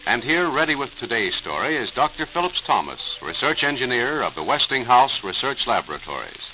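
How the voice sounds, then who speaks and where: A male host announces in scripted 1940s radio style. His mid-range voice moves animatedly, on an old recording with clear hiss and crackle. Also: medium pitch, very animated, fairly quick, bright, forceful tone.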